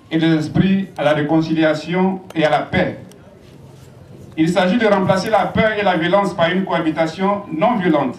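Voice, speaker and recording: A man reads a formal prepared speech in a measured, steady delivery. His voice sits a little high and sounds distant from the mic. Echo and faint noise fill the space around him. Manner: measured, read from a text.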